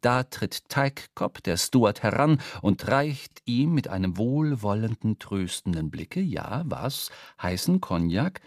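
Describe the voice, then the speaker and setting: A man's voice: on the low side, takes it slowly, few pauses, no echo. Male radio-drama narrator, close and dry. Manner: expressive storyteller.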